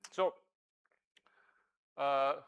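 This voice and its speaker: A man whose first language is Serbo-Croatian, giving a scientific conference talk, halting with many fillers and self-corrections. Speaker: conference speaker. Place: lecture hall at a conference.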